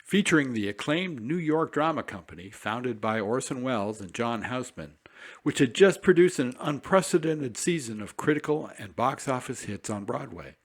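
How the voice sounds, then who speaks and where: Man with lively formal presenting. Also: medium pitch, moderate pace. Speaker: program presenter. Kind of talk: scripted introduction.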